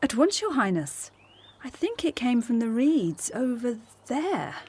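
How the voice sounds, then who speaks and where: An actress playing a dutiful young maid to a princess in a radio drama, deferential and eager. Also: female voice, on the high side, very animated, unhurried, a little mellow, breathy edge, exaggerated voice. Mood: eager, surprised.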